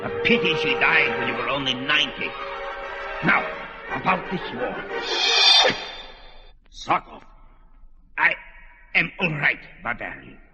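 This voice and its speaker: An actor playing an ancient, wily old wizard with a sly, dry manner in a radio play. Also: male voice, mid-range voice, sing-song, takes it slowly, pauses often. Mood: scheming. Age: older. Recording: dull lo-fi radio play with music.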